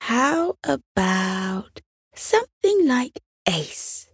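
A woman voicing an adoring, excited mother in a children's story, gushing with drawn-out wonder. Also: fairly high pitch, slightly rough, dry recording, clean studio sound, voice pushed up, exaggerated voice. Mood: delighted.

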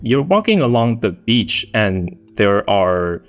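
A man's voice: lowish voice, very animated, slow, soft background music. A man from Taiwan who directs a think tank, answering interview questions in a friendly, chatty way with many fillers.

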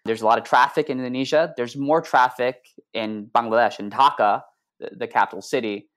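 Man, startup CEO, matter-of-fact, mid-range pitch. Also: fairly quick, a bit bright. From United States. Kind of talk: interview answers.

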